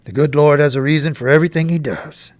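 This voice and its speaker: A man reading a story aloud, voicing a steady father who speaks in a grave, measured way. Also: on the high side, lightly acted. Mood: solemn. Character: steady, solemn father giving firm advice.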